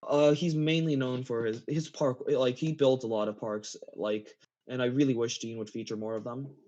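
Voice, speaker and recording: Male gaming guest, mellow and halting, giggly, casual; muffled lo-fi voice-chat sound. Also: mid-range voice, fairly even intonation. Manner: halting, repeats phrases, giggly. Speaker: interview guest, game map builder. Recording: dull lo-fi voice chat.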